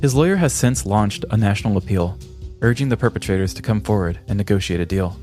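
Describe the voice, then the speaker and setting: A man with a fairly low voice narrates a scripted story for a podcast. His delivery is measured and dramatic, and music plays faintly behind him. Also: lively intonation, mellow. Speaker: podcast host. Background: background music.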